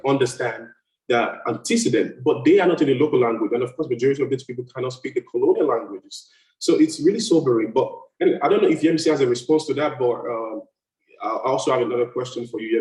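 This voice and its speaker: A man moderating a discussion panel, speaking in a measured, hesitant way and reading out comments. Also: mid-range voice, a little mellow. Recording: roomy, far from the mic.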